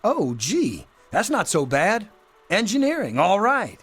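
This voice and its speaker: A brash teenage schoolboy for a video game. The voice is high and whiny, swinging wildly in pitch, with juvenile slang. Some noise sits behind it. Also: male voice, wildly swooping pitch, measured pace, a bit bright, full character voice. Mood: relieved. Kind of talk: video game dialogue acting.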